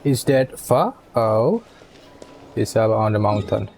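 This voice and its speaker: A man doing casual game playthrough commentary, halting as he reads choices and adds short asides. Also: lowish voice, lively intonation, creaky, a little mellow, a little hiss or hum. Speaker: game streamer.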